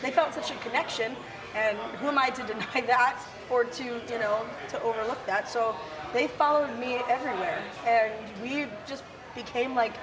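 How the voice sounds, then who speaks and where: A woman from South Dakota with a gravelly, raspy voice, chatting casually and laughing now and then as an interview guest. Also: fairly high pitch, normal speed, a crowd in the background, low-quality recording. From South Dakota, USA. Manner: chatty and self-deprecating, laughs often. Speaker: musician guest. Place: outdoors at a windy motorcycle expo.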